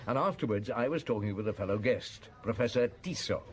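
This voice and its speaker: An actor playing a weary, wry French police chief inspector in a radio drama, speaking conversationally. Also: male voice, lowish voice, lively intonation, fairly quick, close to an everyday voice. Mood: reminiscing. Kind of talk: radio drama dialogue and reminiscence.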